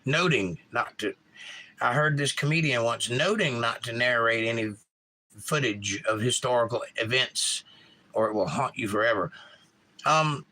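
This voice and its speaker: Man, Georgia accent, bright tone, rambling casual streamer, reads chat aloud. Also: mid-range voice, measured pace, poor audio. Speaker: live stream host.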